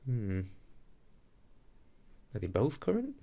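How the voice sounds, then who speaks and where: A man's voice: mid-range voice, wildly swooping pitch, slow pace, gravelly, dark tone. A man teaching a science lesson in an informal, explanatory way, talking conversationally and thinking aloud as he demonstrates.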